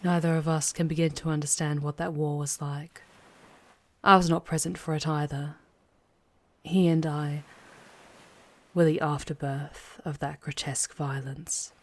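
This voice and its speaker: A woman playing a charming, poised female demon guide in an intimate ASMR roleplay, speaking in a measured way with breathy, hushed touches. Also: sits low, little pitch movement. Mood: pensive, gentle. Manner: measured, deliberate, often dropping into breathy whispers.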